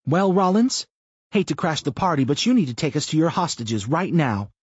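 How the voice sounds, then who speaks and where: A man's voice: fairly high pitch, big pitch swings, moderate pace, husky, no room sound, pitched above the performer's own voice. A man doing a hard-edged gun-toting woman. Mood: hard, commanding. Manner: blunt, threatening. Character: tough woman with a gun, commanding. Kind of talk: audiobook character voice. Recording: muffled lo-fi audio.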